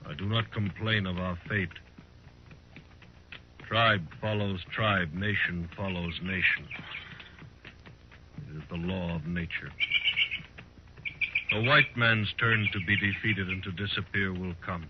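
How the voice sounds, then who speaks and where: An actor playing a proud, embittered middle-aged war leader in a 1950s radio western, speaking in formal, measured sentences. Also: male voice, low-pitched, fairly even intonation. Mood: grave, resigned. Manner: formal, measured speech without contractions. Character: proud, embittered older Cheyenne war leader, grave and fatalistic. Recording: noisy, muffled 1950s radio recording.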